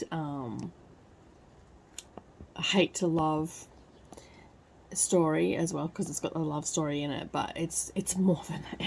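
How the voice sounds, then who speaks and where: A woman with a croaky voice from crying, talking softly at home in a casual book review vlog. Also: deep voice, slight background noise, rough recording. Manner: hushed, soft-spoken so as not to wake others. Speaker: book vlogger. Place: at home, early morning.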